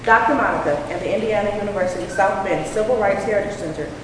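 A woman's voice comes from far off, heavily echoing in a hall with clear noise. She delivers a scripted introduction as the presenter of an event. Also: mid-range voice, quick pace.

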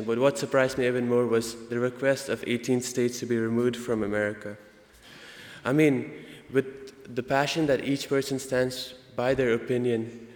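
A young man with an Indian accent gives an earnest prepared student speech, halting now and then to correct himself. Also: medium pitch. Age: young adult. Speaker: student speaker. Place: large indoor hall, convocation. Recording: echoey hall with long reverb.

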